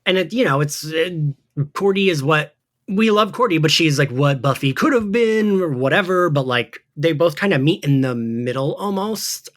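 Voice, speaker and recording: A man's voice: a little high, animated, normal speed, fairly bright tone. A man hosting a podcast, chatting casually and rambling through a pop-culture recap with slang and swearing. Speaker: podcast host.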